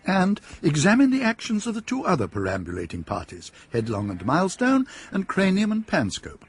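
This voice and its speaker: A wry, arch narrator in a radio play, spinning wordy sentences with playful relish. His voice is husky and swings wildly in pitch. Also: wildly swooping pitch, brisk, full character voice. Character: wry, wordy male storyteller.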